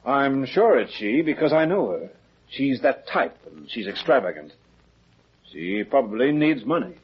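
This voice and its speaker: An anxious gentleman facing blackmail in a vintage detective serial. His medium-pitched voice is a little husky and earnest, heard through a muffled old radio recording. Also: sing-song, a little slow, full character voice. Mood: worried, earnest. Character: worried, well-off bridegroom being blackmailed. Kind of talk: 1950s radio drama acting.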